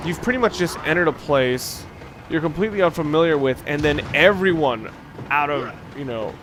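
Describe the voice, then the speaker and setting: A man's voice: a little high, lively intonation, normal speed. A man giving casual, unscripted video game commentary as a let's play host, rambling into musing, dryly humorous asides. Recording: clear background noise under the voice.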